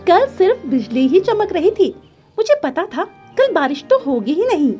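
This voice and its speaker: Lady doing a cheery little girl bird for a kids cartoon, high pitched, confident, soft music behind. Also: sing-song, moderate pace.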